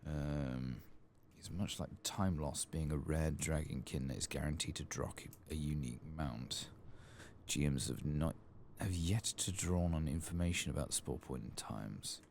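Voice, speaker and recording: Very deep soft-spoken ASMR man. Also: a little slow, gravelly, a little hiss or hum. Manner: murmured, drifting into whispers. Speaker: gaming video host.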